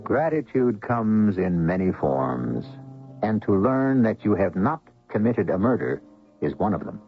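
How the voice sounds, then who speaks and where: An actor playing a wry, brooding radio mystery host, narrating in a deliberate, literary, theatrical way. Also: male voice, fairly low pitch, big pitch swings. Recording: dull vintage radio recording, music underneath.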